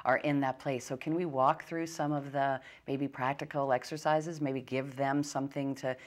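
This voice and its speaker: Female interview host, very deep voice, conversational and attentive, rephrases questions mid-sentence. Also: fairly even intonation, normal speed.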